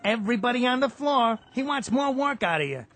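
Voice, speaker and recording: An actor playing a matter-of-fact office coffee vendor with a clipped patter in a radio drama. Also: male voice, extremely high pitch, animated, fairly quick, a bit bright. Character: plain-spoken male coffee vendor doing office rounds.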